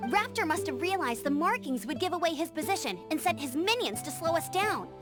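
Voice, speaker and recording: Game VO: an energetic young female scout, very high and lively, music bed behind. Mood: eager, upbeat. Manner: energetic, quick to take charge. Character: peppy young female scout, eager and bossy. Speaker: game character. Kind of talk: video game voice acting.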